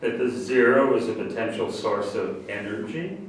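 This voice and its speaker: A man playing a mocking, self-assured political rival in a staged satirical reading, unhurried and sarcastic. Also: on the low side, lively intonation, close to an everyday voice. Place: echoey room, far from the microphone. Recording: very echoey, distant, noisy hall recording.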